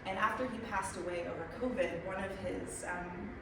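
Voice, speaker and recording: A woman's voice: medium pitch, steady tone, a little fast, vocal fry. Echoey, distant woman with mixed American-Kiwi accent. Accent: American-New Zealand mix. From United States and New Zealand. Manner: chatty, self-mocking, many ums. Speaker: guest speaker. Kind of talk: conference talk. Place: large echoey meeting room. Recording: echoey room, distant mic, noisy.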